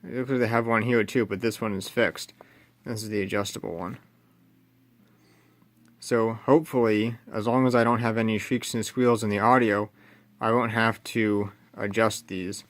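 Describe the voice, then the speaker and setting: A man's voice: on the low side, level tone, normal speed. A man giving casual hands-on repair narration, thinking aloud as he works at an indoor bench. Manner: thinking aloud while working. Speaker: radio repair hobbyist presenting. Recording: loud background noise and electrical hum. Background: loud noise, hum.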